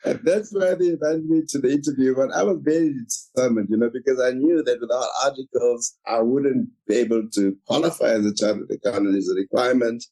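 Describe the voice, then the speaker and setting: A man whose first language is Afrikaans, a guest author telling his story in a measured, reflective way in an interview. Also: mid-range voice, fairly even intonation, mellow, low-quality recording.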